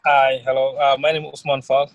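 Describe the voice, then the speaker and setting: A young man with a Senegalese accent, a student guest talking casually with halting, non-native phrasing in an interview. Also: mid-range voice, flat delivery, lo-fi sound, slight background noise. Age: young adult. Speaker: student guest, recent graduate.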